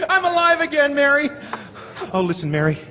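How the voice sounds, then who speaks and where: A man in a live old-time radio drama playing an overjoyed family man, excitable, stammering and exclaiming. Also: very high-pitched, normal speed, noisy background. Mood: breathless joy. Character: exuberant, overjoyed family man, hero of a Christmas fable. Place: live theater stage. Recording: reverberant theater stage recording.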